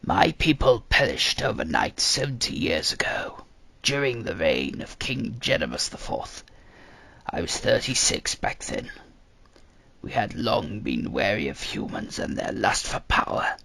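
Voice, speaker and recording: A man voicing a very old, embittered fantasy survivor in a storybook, raspy, strained and slow, with a grave, labored manner. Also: fairly low pitch, very animated, pushed and bright, no echo, pitched below the performer's own voice, heavily acted. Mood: grim, pained.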